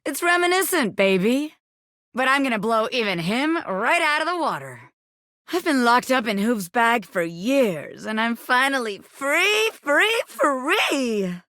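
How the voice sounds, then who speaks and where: A woman's voice: fairly high pitch, sing-song, unhurried, bright, forceful tone, no echo, studio quality, full character voice. A woman voicing a cocky, self-important bobby pin who fancies itself a crime boss in a video game, swaggering, slangy and boastful. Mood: brash, excited. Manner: swaggering, slangy, boastful, peppering talk with pet phrases. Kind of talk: video game character voice acting.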